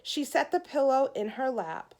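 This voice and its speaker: A woman narrating a children's storybook aloud in an expressive, deliberate way, with clearly phrased storytelling, like a teacher. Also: high voice.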